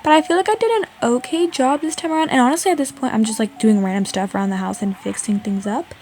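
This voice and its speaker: A woman's voice: fairly high pitch, fairly even intonation, fast, a little mellow, a little hiss or hum. A woman from Canada giving a casual, chatty game voice-over in an informal, indecisive way. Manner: informal, indecisive, frequent "like" and "honestly". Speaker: video creator narrating a game build. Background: background music.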